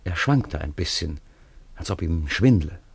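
Deep, husky male storyteller. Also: lively intonation, measured pace, no echo. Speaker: narrator. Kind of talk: scripted storybook narration in a radio play.

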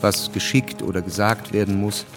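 A man with a fairly low voice narrates a historical radio play. His delivery is measured and literary, with clear music behind him. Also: fairly even intonation. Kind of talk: scripted radio drama narration.

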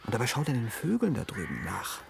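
Casting: guarded park worker, radio play, hushed and hesitant delivery, animated intonation, light outdoor ambience. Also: male voice, mid-range voice, fast, gravelly, background noise, full character voice. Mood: watchful. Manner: hushed, hesitant, partly whispered. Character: wary male worker in a futuristic animal park. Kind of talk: German science-fiction radio play acting.

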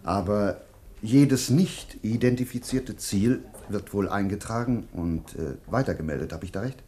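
An actor playing a courteous but firm doctor who asks deliberate, hesitant questions in a German radio play. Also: male voice, on the low side, dark tone, natural voice. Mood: probing, courteous.

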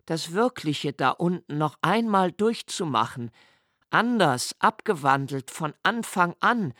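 A middle-aged man giving a quiet, measured, inward first-person narration in a literary radio play, recorded in a studio. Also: fairly high pitch, lively intonation, no echo.

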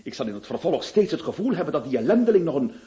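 An actor playing an anxious, fussy notary who stammers and frets in a radio play. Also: male voice, on the high side, big pitch swings, very fast, warm tone. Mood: frightened. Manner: stammering, fretful. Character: anxious fussy male notary, easily frightened. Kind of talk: radio drama acting.

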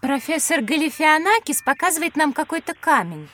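A woman voicing an inquisitive young schoolgirl, expressive and curious, in a children's educational audio drama. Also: high-pitched, moderate pace, exaggerated voice. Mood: curious, concerned.

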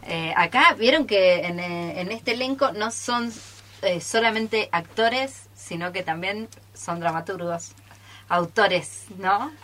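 A woman's voice: medium pitch, slow pace, a bit bright. A woman with an Argentine accent casually introducing a radio theatre piece live as its host and workshop leader.

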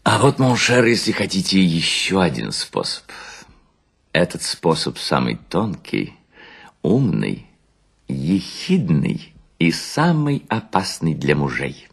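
A man's voice: sits low, huge pitch swings, takes it slowly, vocal fry, breathy edge, heavily acted. An actor playing a worn-out balding seducer, suavely lecturing on his sly craft in a theatrical literary monologue, savoring words and humming between them. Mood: sly, instructive.

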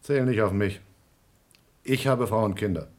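An anxious, rule-bound astronaut, played by a man, speaks with tense, scolding insistence. His voice is a little low. This is a radio play. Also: unhurried, lightly acted. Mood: grave.

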